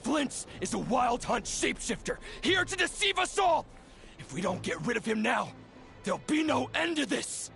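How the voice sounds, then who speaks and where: An actor playing an agitated, accusing townsman in an anime game, loud and demanding. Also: very high voice, very animated, normal speed, creaky, faint music behind, heavily acted. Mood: angry, alarmed. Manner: shouting, demanding. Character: agitated, accusing townsman leading an angry mob.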